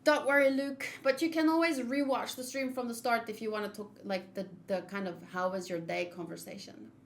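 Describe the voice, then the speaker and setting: Higher-pitched woman streaming games. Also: fairly even intonation, a little fast. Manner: chatty, opinionated explaining. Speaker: game streamer. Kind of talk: casual live game commentary.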